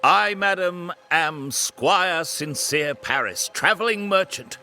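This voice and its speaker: Florid, bright-voiced merchant squire. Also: male voice, sits high, lively intonation, slow, full character voice. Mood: flustered, courteous. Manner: theatrical, florid, formal. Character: wary, florid travelling merchant squire, eager to leave. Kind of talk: dramatic period game acting.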